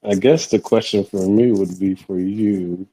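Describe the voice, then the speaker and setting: A man with a deep, low voice co-hosts a casual support group conversation. He speaks in an affirming, easygoing way, often addressing the group directly. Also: fairly even intonation, a little slow, mellow, slightly rough, rough recording. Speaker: co-host.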